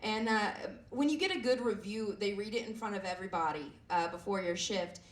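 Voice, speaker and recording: Woman, twenties, raspy voice, stand-up comedy, self-deprecating, stumbling delivery, roomy echo. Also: medium pitch. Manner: self-deprecating, halting with frequent fillers. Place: comedy club stage. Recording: echoey room heard from a distance.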